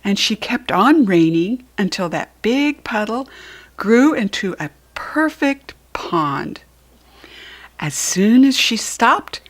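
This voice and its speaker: Slow, very lively American woman reading a storybook. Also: a little high. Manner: expressive, deliberate teaching delivery with asides. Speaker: storybook reader. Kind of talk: read-aloud children's picture book narration.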